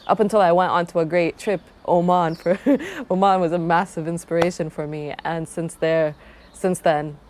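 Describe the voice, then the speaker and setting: A woman's voice: deep voice, a little mellow, slight background noise. A young woman from Croatia introducing herself and her travel channel, talking in a thoughtful, rambling way with frequent restarts. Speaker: travel vlogger. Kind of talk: casual self-introduction to camera.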